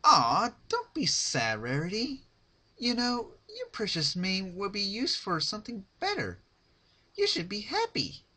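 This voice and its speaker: A man's voice: high voice, huge pitch swings, a little slow, pushed and bright, slightly rough, pitched above the performer's own voice, exaggerated voice. A man voicing a cheerful but sadistic young female pony in a sing-song, mock-sweet way for a dramatic fan-fiction reading. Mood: mock sympathy.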